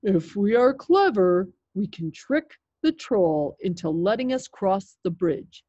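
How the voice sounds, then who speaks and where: Woman putting on a big tough male goat, storybook animal, confident, forceful delivery, slow, lo-fi recording. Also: medium pitch, big pitch swings, dry recording. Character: biggest billy goat, tough, clever, bold male.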